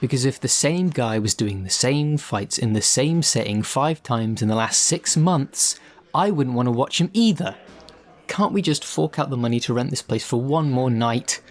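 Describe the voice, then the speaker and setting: A man's voice: mid-range voice, lively intonation, moderate pace, lightly acted. Man playing a fussy, disgruntled actor. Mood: exasperated. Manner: hesitant politeness turning to exasperated complaint. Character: disgruntled earnest male actor, fussy about his craft. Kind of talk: conversational audio drama acting.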